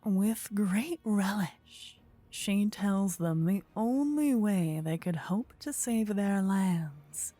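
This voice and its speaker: A woman narrating a scripted fantasy ASMR story in a soft, breathy, partly whispered voice. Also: fairly low pitch.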